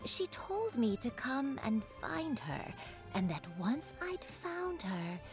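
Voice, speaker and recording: A forgetful, childlike granny in a game. She talks very slowly with a lively, sing-song lilt, over music. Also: high voice, heavily acted. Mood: dreamy. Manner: slow, wandering and childlike. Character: forgetful, dreamy granny who looks young. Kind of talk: game voice acting.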